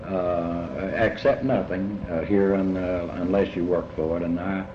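Older man, deep voice, halting reflective interview speech, repeated words, muffled sound, clear background noise. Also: steady tone. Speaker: interviewee recalling early life. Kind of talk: reflective oral history interview. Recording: muffled, noisy, echoey room recording.

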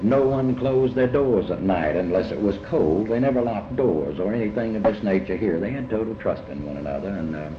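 Older man, deep voice, halting reflective interview speech, repeated words, muffled sound, clear background noise. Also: animated. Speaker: interviewee recalling early life. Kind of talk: reflective oral history interview. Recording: muffled, noisy, echoey room recording.

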